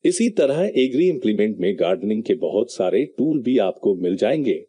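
A man's voice: lowish voice, very animated, a little fast, dark tone, husky, dry recording. A man hosting a tutorial channel, walking viewers through each click step by step in a screen-recorded voice-over. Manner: instructive, explains each click.

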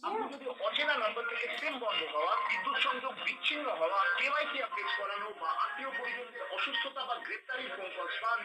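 A man's voice: very high voice, echoey room, distant mic, low-quality recording. A man reading a formal, cautionary public service announcement.

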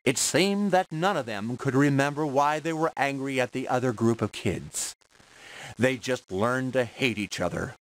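A man reads a children's storybook aloud. His pace is very slow and measured, with a mid-range pitch. The delivery is clearly scripted, pitched at young children. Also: rough recording. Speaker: storybook narrator.

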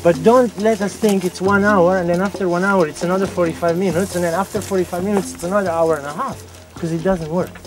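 Expedition crew guy, higher male voice, frank storytelling, distant mic, music behind. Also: steady tone, quick pace. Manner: frank, storytelling, lightly broken English. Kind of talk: documentary narration and field talk.

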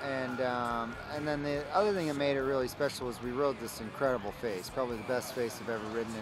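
A man's voice: medium pitch, fast talker, poor audio. Laid-back male snowboarder, crowd behind. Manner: laid-back, stoked, many fillers. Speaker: pro snowboarder interviewee. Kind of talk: interview answers. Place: outdoors on a snowy mountain.

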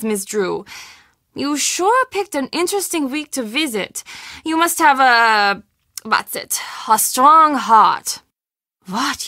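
An actress playing a formal, careful castle guest-services manager in a video game. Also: female voice, fairly high pitch, sharp tone, no echo, clean studio sound. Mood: welcoming, apologetic. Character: polite, anxious female German castle guest-services manager.

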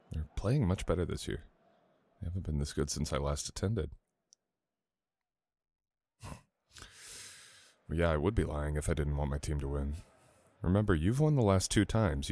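A man playing a sweet, teasing boyfriend in an intimate ASMR audio roleplay, coaxing softly and unhurriedly. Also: very deep voice, exaggerated voice. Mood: playful teasing.

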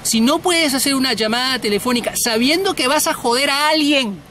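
A man's voice: very high voice, a little fast, bright, forceful tone, a little hiss or hum. A middle-aged man giving an informal sales lesson to camera from inside a car, bluntly and emphatically, repeating words for stress. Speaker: sales trainer, host.

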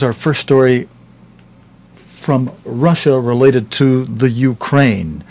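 An older man hosting a news program from a studio, reading stories slowly with some halting restarts. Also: mid-range voice, darkish tone. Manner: slow, halting delivery with restarts. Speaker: news host. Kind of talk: scripted news reading with ad-libbed links. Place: studio with news desk backdrop.

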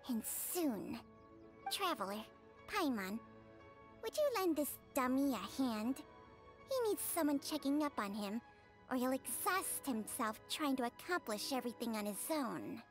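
A woman's voice: high voice, expressive, measured pace, soft background music. Brief: ancient female snake spirit, dry teasing scold, game. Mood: firm. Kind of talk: video game voice acting.

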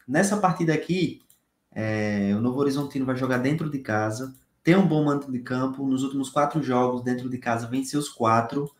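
A man's voice: mid-range voice, a little mellow. A young Brazilian man hosting a casual sports-betting tips video from a small home room, chatty and upbeat, talking straight to his viewers. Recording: echoey room sound.

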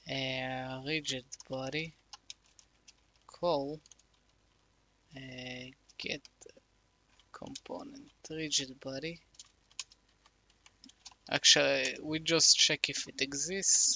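A man slowly talking through a coding tutorial, thinking aloud with many fillers. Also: medium pitch, bright, forceful tone. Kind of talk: casual screen-recorded coding tutorial.

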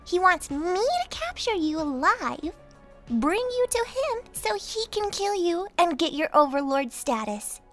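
A woman's voice: extremely high pitch, big pitch swings, unhurried, a bit bright, faint music behind, full character voice. A woman playing an aggrieved female social media influencer in a cartoon audio drama. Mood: bitter, resentful.

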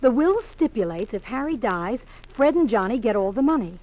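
A woman's voice: on the high side, expressive, normal speed, darkish tone, lightly acted, poor audio. An actress playing an anxious, hesitant married woman with an imploring manner, in 1950s radio drama style. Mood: worried.

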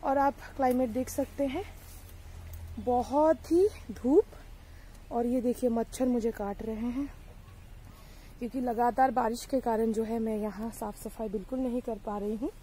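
A young woman with a mellow, slightly high voice walks through her vegetable garden and talks to viewers as friends. She chats casually with many fillers and sounds bothered by the heat, with faint noise behind her on a handheld phone recording outdoors. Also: steady tone, unhurried, poor audio. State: hot and bothered by sun and mosquitoes. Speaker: vlogger. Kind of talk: casual garden tour vlog. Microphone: handheld phone camera.

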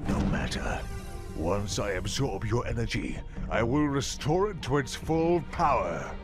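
A man's voice: mid-range voice, animated, measured pace, natural voice. A man playing a menacing, gloating old wizard villain in a video game, with a theatrical, taunting delivery. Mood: gloating scorn. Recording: loud game music and effects behind.

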